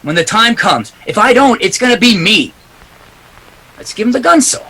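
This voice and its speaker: A tough noir private eye from a 1940s radio play, acted by a man, with a forceful, fairly high voice. He is clipped, wisecracking and defiant, and his delivery is very animated. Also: moderate pace, breathy edge, heavily acted, poor audio. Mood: urgent, pressing. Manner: hard-boiled, clipped, defiant. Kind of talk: 1940s radio drama acting with first-person narration.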